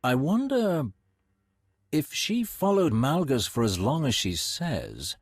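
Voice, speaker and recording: A man playing a composed, dry-witted Sith lord in a video game, formal, wry and measured. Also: on the high side, wildly swooping pitch, slightly rough, no echo, heavily acted. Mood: calculating.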